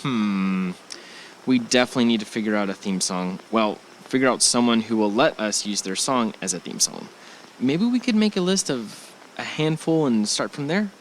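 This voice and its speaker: Guy acting young husband in an audio drama, casual n reassuring, normal pitch, bit of background noise. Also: animated, moderate pace, lightly acted, rough recording. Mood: relaxed. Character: young husband and travel podcaster, affectionate, calmly reassuring. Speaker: actor in an amateur radio drama. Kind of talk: naturalistic audio drama dialogue.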